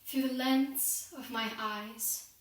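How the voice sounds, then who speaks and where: A woman's voice: fairly high pitch, fairly even intonation. A young woman playing a guilt-ridden, devout working woman of the industrial era in a solemn, formal confessional monologue. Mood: regretful. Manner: solemn, deliberate, formal oratory. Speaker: student performer. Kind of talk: dramatic monologue, confessional prayer. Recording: very echoey room, distant microphone.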